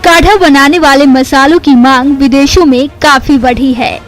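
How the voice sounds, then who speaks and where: A woman's voice: sits high, fairly even intonation, normal speed. A woman reading scripted news narration as a voice-over. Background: faint background music.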